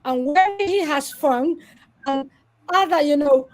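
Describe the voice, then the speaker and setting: High-voiced woman on a video call. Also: takes it slowly, a little hiss or hum. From Somalia. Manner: earnest, explains patiently with many "you know" fillers. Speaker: interview guest. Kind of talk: live online interview.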